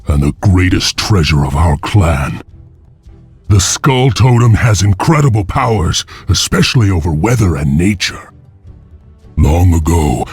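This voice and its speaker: An actor playing a grim, defiant wolf-clan slave warrior in a video game, with a deep, husky, grave voice. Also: male voice, a little mellow, exaggerated voice. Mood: solemn awe. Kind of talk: video game cutscene acting. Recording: music behind.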